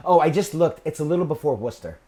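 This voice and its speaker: A man in his forties from Maine hosting a podcast, chatting casually in a rambling way that trails off softly, with frequent laughs. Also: a little high, expressive, fast talker, crowd noise. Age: 40s. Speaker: podcast host. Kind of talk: casual interview chat.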